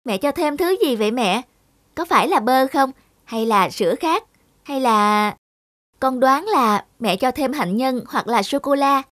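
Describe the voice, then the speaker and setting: A woman voicing an eager, easily upset young girl in a cartoon, with a whiny, expressive, childlike delivery. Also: high-pitched, a little slow. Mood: excited. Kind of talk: animated cartoon dubbing. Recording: loud music behind the voice.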